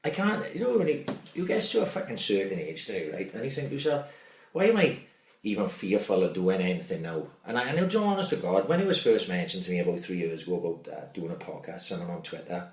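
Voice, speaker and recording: A man's voice: sits low, very animated, fast. A middle-aged man hosting a casual podcast, rambling through sweary stories. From Wales. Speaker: podcast host. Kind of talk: casual chat. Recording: echoey room, distant mic.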